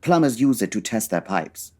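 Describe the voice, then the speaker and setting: A woman playing a pompous, dryly impatient male Victorian detective with a husky voice and formal, precise diction, in a comedy audio drama. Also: very deep voice, big pitch swings, moderate pace, dark tone, exaggerated voice. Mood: instructive, dry.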